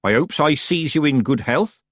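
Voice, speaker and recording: A man voicing a pompous, falsely polite middle-aged woman witch with a high, sugary voice. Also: expressive, normal speed, no room sound, studio quality. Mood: falsely sweet. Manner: falsely polite, gushing, grave.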